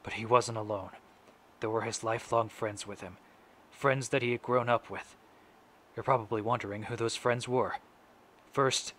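A young man acting a grieving, resolute father in a solemn, deliberate stage monologue. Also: medium pitch, fairly bright tone, close to an everyday voice. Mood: tender, mournful. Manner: solemn, deliberate, measured phrasing. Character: grieving, resolute middle-aged father addressing his son's killer. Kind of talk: dramatic stage monologue recitation. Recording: noisy amateur home recording.

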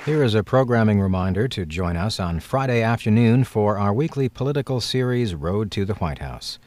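A man's voice: on the low side, animated, moderate pace, a little mellow, a faint crowd, slight background noise. Formal male broadcast announcer. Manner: smooth, formal announcer read. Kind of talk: scripted programming promo.

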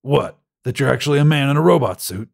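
A man's voice: medium pitch, lively intonation, fast talker, gravelly, a little mellow, pitched above the performer's own voice. A man voicing an irritated, sceptical father in an audiobook story, with a curt, huffy manner. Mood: scornful, curt. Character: irritated, sceptical, scornful father on a museum visit.

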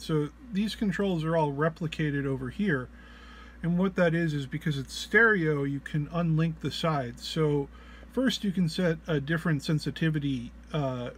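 A man who is under the weather gives an informal tutorial walk-through, explaining haltingly and hesitantly. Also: a little high, a little hiss or hum. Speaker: presenter of a gear walk-through.

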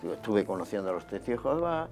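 A man with a fairly low voice, in his fifties and from Barcelona, talks easily about his past as a studio guest. His delivery is relaxed and conversational, picked up on a lapel mic, with faint music underneath. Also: fast. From Barcelona, Spain. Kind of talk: telling his life story. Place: small TV studio set.

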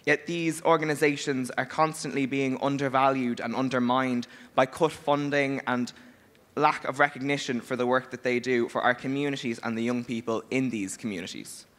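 A man's voice: mid-range voice, steady tone, normal speed, a bit bright. A sixteen-year-old Irish boy giving a formal, earnest prepared speech as a youth delegate on a conference panel. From Ireland. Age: teens. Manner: earnest, formal, well-paced delivery. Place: large conference hall stage. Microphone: handheld mic.

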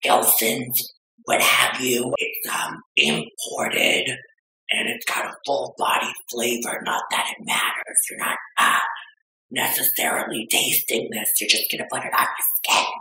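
A man voicing a vain, bawdy aging beauty vlogger woman who claims to be twenty-two, with a breathy, whispery drawl and gossipy theatrical delivery. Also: medium pitch, expressive, a little slow, sharp tone, slight background noise, exaggerated voice. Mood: chatty. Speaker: makeup tutorial vlogger. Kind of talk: comedic makeup tutorial. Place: small decorated room indoors. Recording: echoey room.

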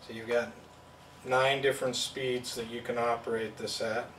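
A man's voice: medium pitch, level tone, moderate pace, a bit bright, recorded from a distance, slight background noise. Man explaining a gadget in a roomy studio. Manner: plain, step-by-step explaining. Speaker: product demonstrator. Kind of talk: hands-on product demo. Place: indoor studio at a table. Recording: roomy sound from off-mic.

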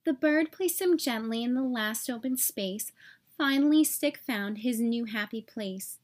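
A woman hosting a read-aloud, telling a children's story in an expressive storytelling style. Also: fairly high pitch, a little slow. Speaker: children's story reader, host.

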